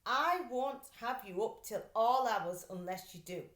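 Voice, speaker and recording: A woman's voice: a little high, expressive, a little slow, fairly bright tone. A woman voicing a concerned, firm storybook mother with an emphatic manner. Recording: echoey room.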